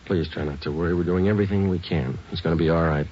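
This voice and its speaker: Deep, deadpan American police detective. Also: male voice, quick pace, breathy edge. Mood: calm, coaxing. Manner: deadpan, matter-of-fact. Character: steady, calm male police detective sergeant narrating his case. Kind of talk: 1940s radio drama, clipped scripted narration. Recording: muffled old 1940s radio recording.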